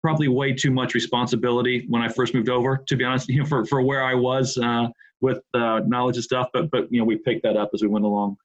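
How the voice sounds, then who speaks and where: A man's voice: medium pitch, flat delivery, fast talker, highs cut off. A middle-aged American man from the Midwest, a podcast guest chatting casually and repeating words as he starts his thoughts. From Indianapolis, USA. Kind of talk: casual interview chat.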